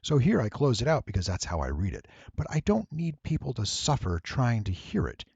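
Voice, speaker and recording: A man narrating a tutorial, explaining things step by step in a deliberate, emphatic way. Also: mid-range voice, sing-song, creaky, a little mellow, breathy edge, no echo, highs cut off. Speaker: voice actor giving an audio editing tutorial. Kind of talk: explanatory screen-recording narration.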